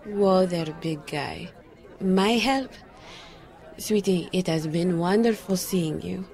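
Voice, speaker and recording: A woman's voice: lowish voice, slow pace, a little mellow, some chatter behind. An actress playing a skeptical, guarded psychic woman, dry and teasing, in an audio drama. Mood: firm, guarded. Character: skeptical, psychic enchanted woman, the detective's old flame.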